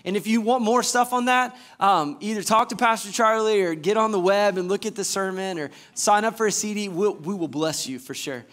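A man in his thirties from Georgia, an assistant pastor preaching a lively sermon on a church stage and asking the congregation questions. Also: sits high, brisk, few pauses. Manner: enthusiastic, gestures widely, asks the congregation questions. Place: church stage before a screen.

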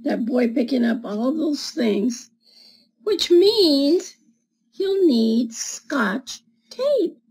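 Older lady in her 70s reading a kids book, high voice, real slow and mellow. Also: very animated, poor audio. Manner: deliberate, explaining pictures with gentle questions to children. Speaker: read-aloud storyteller for schoolchildren. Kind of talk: children's picture book read-aloud. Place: small home room with bookshelves, indoors. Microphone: webcam at desk distance.

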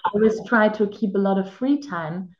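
A woman's voice: medium pitch, moderate pace. A woman in her thirties, a native German speaker, chatting reflectively as an actress and life coach over a video call. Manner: reflective, chatty, many filler "like"s. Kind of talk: casual video-call interview. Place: home room with bare wall. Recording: roomy lo-fi video-call audio.

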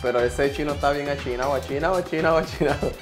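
A man's voice: a little high, fast. A man in his forties with a Puerto Rican accent, chatting casually as a cooking show guest and often repeating his phrases. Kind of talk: casual chat. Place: home kitchen. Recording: music playing behind the voice. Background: music.

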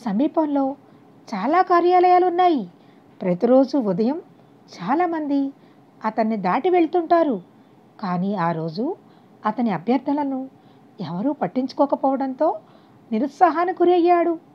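A woman's voice: high voice, big pitch swings, measured pace, pauses often. A woman narrating a scripted moral story as an audiobook narrator, on a dull, lo-fi recording.

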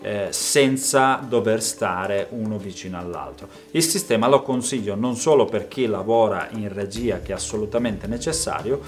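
A man's voice: on the low side, normal speed, hardly any pauses. A man, explaining a product to camera as a video maker giving a review. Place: small home studio room, indoors. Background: background music.

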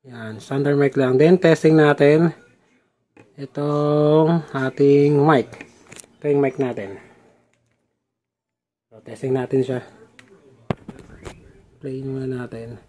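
A man's voice: medium pitch, steady tone, takes it slowly, pauses often, warm tone. A young man vlogging casually about his motorcycle helmet camera setup, with chatty repeated fillers, recorded on a helmet-mounted camera mic outdoors. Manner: chatty, addresses viewers as "lods", repeats fillers. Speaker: motovlogger. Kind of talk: casual how-to vlog. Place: outdoors at home, then riding a motorcycle. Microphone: helmet-mounted action camera mic.

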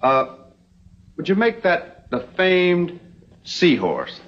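A smooth young American man works his pitch as a sly, genial promoter in an old TV western. His voice swings in an animated way, on a dull vintage soundtrack. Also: takes it slowly, full character voice. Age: young adult. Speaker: actor in a TV western. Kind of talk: 1960s TV western acting.

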